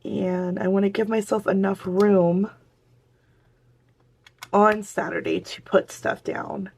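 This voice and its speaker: Hoarse woman chatting while crafting. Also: medium pitch, fairly even intonation, slow pace. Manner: chatty, talks to viewers while working. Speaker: planner vlogger. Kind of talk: casual crafting chat.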